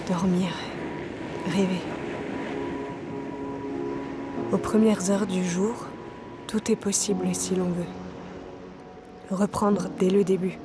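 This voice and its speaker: A woman playing a weary solo sailor in an audio fiction, speaking her logbook in a measured, terse, introspective way. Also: lowish voice. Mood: exhausted, dreamy. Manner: measured, terse, introspective in the log; colloquial on radio. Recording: loud vehicle-like ambient noise behind.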